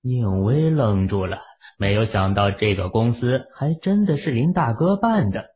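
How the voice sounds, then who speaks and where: A man's voice: mid-range voice, expressive, a little slow. A man narrating a novel as a scripted, dramatic audiobook reading. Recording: tinny, narrow-band phone-like audio.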